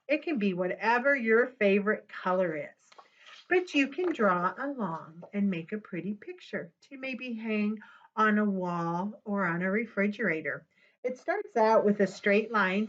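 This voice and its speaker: A woman presenting a storytime for young children, talking to them directly in an animated way as she reads aloud. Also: on the high side, dull audio.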